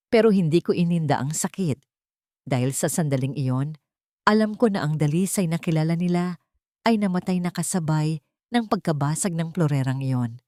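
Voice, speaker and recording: A woman's voice: sits low, darkish tone, dry recording, clean studio sound. A woman reading a scripted first-person romance drama as narrator, in a solemn, emotive storytelling manner.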